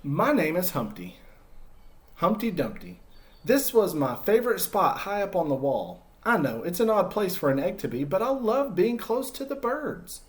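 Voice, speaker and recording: A man reads as an earnest male egg from a children's storybook, timid but persevering. The voice sits a little high and moves expressively up and down as he tells his own tale. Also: moderate pace. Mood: wistful, friendly. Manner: earnest, expressive first-person storybook narration.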